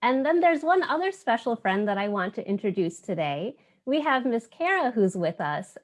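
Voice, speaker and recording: Woman hosting a children's story time. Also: mid-range voice. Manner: explanatory, addressing young children simply. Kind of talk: spoken introduction for a children's virtual story time.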